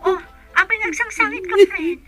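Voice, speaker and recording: A woman's voice: very high-pitched, normal speed, over music. A woman playing a concerned, outspoken young friend in a radio drama, sympathetic and urging. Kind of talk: serial radio drama acting in Ilocano.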